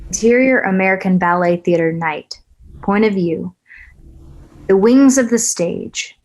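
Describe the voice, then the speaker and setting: A woman reading stage directions aloud at a script table read, even and matter-of-fact. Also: medium pitch, a little slow.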